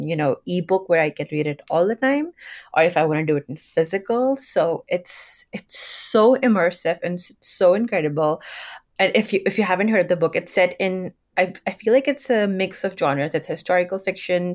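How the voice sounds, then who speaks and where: A woman with a lowish voice, a native Urdu speaker, co-hosting a podcast in casual book chat. She talks with eager enthusiasm, rambling and restarting her sentences as she goes. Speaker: podcast co-host.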